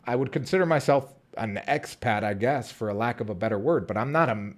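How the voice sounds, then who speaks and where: A man's voice: medium pitch, animated, fairly quick. A man, talking casually to the camera and restarting his sentences often. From Colombia, raised in Southern California. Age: 30s. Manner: rambling, restarts sentences, frequent fillers. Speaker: vlogger. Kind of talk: casual unscripted talk to camera.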